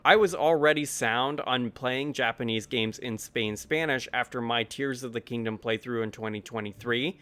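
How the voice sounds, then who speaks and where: Brief Young male, gaming channel host, mid-pitched, measured and earnest, reads a scripted review voice-over. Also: a bit bright. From United States.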